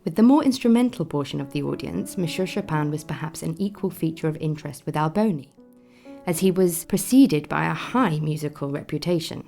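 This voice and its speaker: An actress voicing a formal Victorian newspaper critic, reading deliberately in a radio play. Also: female voice, low-pitched, animated, creaky, mellow, faint music behind. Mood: formal, appraising. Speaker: reader of newspaper notices. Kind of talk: dramatised reading of 19th-century newspaper.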